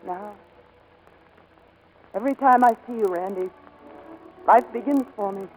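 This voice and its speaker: A woman's voice: medium pitch, a little slow, darkish tone, close to an everyday voice, poor audio. An actress playing a brave, lovestruck woman aviator, tender and resolute, in 1940s radio drama style. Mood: tender, wistful. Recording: vintage 1940s radio recording, faint music.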